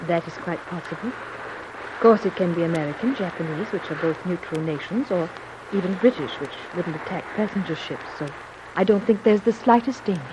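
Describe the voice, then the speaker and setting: An actress playing a calm, guarded, fearless woman passenger in a composed, formal 1930s radio serial style. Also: fairly low pitch, brisk, close to an everyday voice. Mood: calm, dismissive. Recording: noisy band-limited old radio recording.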